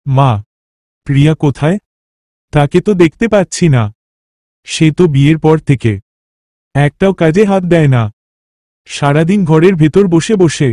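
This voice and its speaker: A man as a grumbling young village husband, mellow. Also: on the high side, normal speed, long pauses, dry recording, studio quality, lightly acted. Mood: complaining. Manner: grumbling, argumentative. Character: complaining, traditional-minded young village husband and son. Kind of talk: cartoon dialogue.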